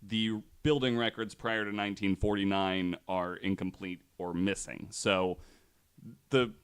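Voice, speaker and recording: A young man hosting a casual podcast, retelling a story in a relaxed way with lots of fillers. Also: low voice, measured pace, smooth. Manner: relaxed, many fillers, voices others' claims with mock quotes. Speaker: podcast host.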